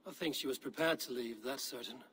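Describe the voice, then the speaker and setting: An actor playing a stern Viking warrior in a video game, questioning people in a measured, grave way. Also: male voice, medium pitch, sharp tone, a little hiss or hum, natural voice. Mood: grave, reporting. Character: stern probing Viking warrior investigating a betrayal. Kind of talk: video game cutscene dialogue.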